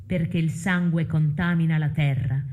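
A woman playing a solemn chorus of citizens in a theatrical radio drama, measured and admonishing. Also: deep voice, steady tone, darkish tone, close to an everyday voice. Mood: solemn warning.

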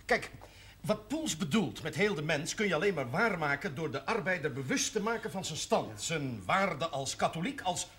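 Casting: eager union member, lively fairly high voice, emphatic, for 1970s TV drama. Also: male voice, moderate pace. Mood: earnest. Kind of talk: 1970s television drama acting.

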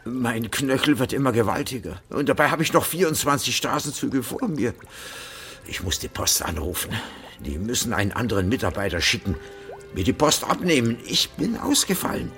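An actor playing an injured, pleading letter carrier with a husky voice in a German radio play. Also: male voice, mid-range voice, very animated, moderate pace, fairly bright tone, faint music behind. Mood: complaining. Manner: plaintive, pleading. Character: injured, plaintive male letter carrier with a twisted ankle.

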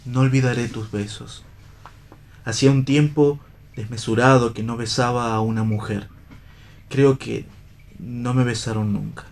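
A man's voice: medium pitch, fairly even intonation, a little slow, creaky, long pauses, a little mellow. A man acting a philosophical vagabond husband in a play reading for radio, with declamatory, literary phrasing that is tender, bitter and ironic. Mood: tender, melancholy.

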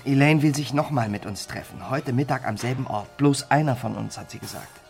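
Male actor as gravelly crook, mid-pitched, wry, matter-of-fact, radio crime drama heist planner. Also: brisk, darkish tone, faint music behind, lightly acted. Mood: irritated, brisk.

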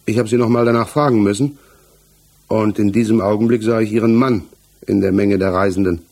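An actor in a 1960s German radio play, playing an accused married village mechanic who answers earnestly, evasively and haltingly. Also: male voice, fairly low pitch, steady tone, creaky, darkish tone. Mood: tense recounting. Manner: hesitant, halting, defensive.

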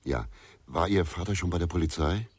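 An actor playing a terse, probing investigator questioning a witness in a radio crime drama. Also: male voice, sits low, a little fast, slightly rough, no room sound. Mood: probing, quiet.

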